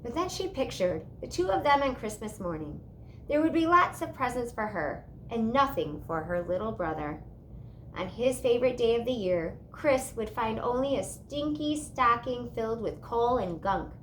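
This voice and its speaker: A woman reading a children's storybook aloud in an expressive narrating style. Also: a little high, normal speed, slight background noise, low-quality recording. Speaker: storybook reader.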